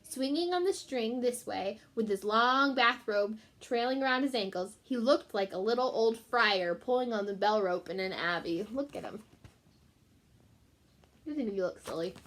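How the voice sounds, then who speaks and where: A woman teacher reading a children's book aloud in an expressive way, speaking directly to young listeners. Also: on the high side, moderate pace. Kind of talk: children's book read-aloud with short explanatory asides.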